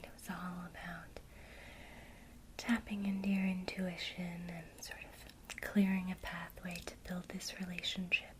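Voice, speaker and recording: A woman whispering a gentle witchcraft lesson in ASMR style, speaking directly to the listener. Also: fairly low pitch, slight background noise, rough recording. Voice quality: soft unvoiced whisper throughout. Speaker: ASMR creator teaching witchcraft.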